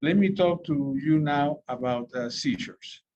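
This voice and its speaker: A man's voice: a little high, measured pace, a little mellow. A man from South America giving a rambling medical lecture as a physician, full of fillers and restarts. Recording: dull lo-fi recording.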